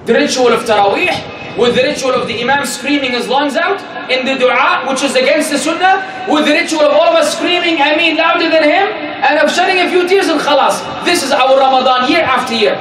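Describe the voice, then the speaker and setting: A man in his thirties preaching a sermon in an emphatic, exhortatory way with deliberate repetition. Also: very high-pitched. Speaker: preacher giving Friday sermon. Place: indoor room in a mosque. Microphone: stand microphone in front of speaker. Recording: echoey, noisy room, distant-sounding.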